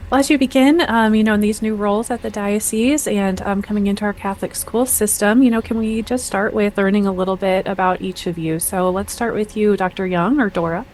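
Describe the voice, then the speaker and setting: A woman's voice: mid-range voice, fairly even intonation, brisk, few pauses, slight background noise. Chatty woman co-hosting a podcast. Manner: warm, chatty, with hedging fillers. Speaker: podcast co-host. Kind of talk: podcast interview.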